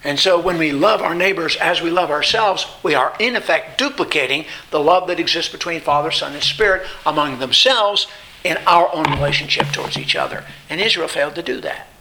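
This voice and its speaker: A man's voice: on the high side, animated, a little fast, bright, forceful tone, slight background noise, poor audio. A man preaching an informal Bible lesson in a didactic, conversational way, posing questions and answering them, repeating phrases for emphasis. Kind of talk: informal expository sermon.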